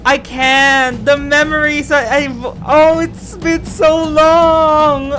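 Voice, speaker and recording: High-pitched, effusive woman streamer over music. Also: little pitch movement, extremely slow, a bit bright. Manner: effusive, gasping, exclamatory. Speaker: game streamer reading a visual novel aloud. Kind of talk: excitable let's-play commentary and story reading. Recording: music behind the voice.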